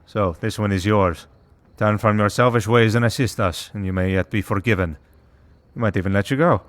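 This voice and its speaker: A man playing a centuries-old vampire priest in an audio roleplay, calm, wry and merciful, talking in a dry, unhurried, politely sardonic way. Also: fairly low pitch, no echo, natural voice. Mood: measured, regretful. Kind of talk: scripted audio roleplay, ASMR drama.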